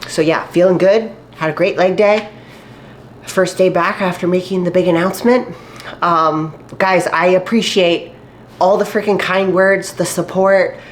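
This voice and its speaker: A woman's voice: lowish voice, a little hiss or hum. Chatty nasal woman vlogging from her kitchen. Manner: upbeat, chatty, full of fillers and likes. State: stuffy and nasal after a cold. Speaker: fitness competitor vlogger. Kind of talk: casual chatty vlog while cooking. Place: home kitchen. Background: faint sizzling of frying food.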